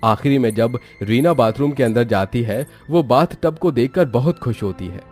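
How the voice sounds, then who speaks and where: A man's voice: medium pitch, normal speed, darkish tone, soft background music. A man narrating a scripted moral story.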